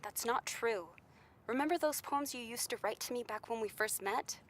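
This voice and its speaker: A woman's voice: a little high, normal speed, lightly acted. An actress playing a worried, loving young girlfriend in a 1930s radio drama, pleading and tender.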